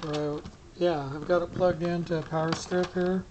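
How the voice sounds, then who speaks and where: A middle-aged hobbyist giving a casual, unscripted gear demonstration, rambling and often pausing mid-sentence. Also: mid-range voice, level tone, muffled recording. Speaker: amateur radio hobbyist giving a gear demo. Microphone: small camera pinned to t-shirt. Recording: noisy clip-on camera sound with clicks.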